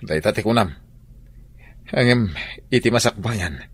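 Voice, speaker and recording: A man's voice: lowish voice, unhurried, breathy edge. Man playing a scolding godfather. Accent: Ilocano. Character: older man, godfather giving advice. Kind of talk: radio drama acting.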